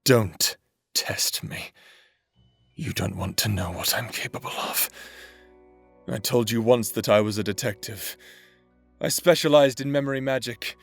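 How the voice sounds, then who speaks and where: A man voicing a menacing, scheming male necromancer in a roleplay audio drama, speaking in a calm, threatening, theatrical way. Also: mid-range voice, unhurried, gravelly, faint music behind.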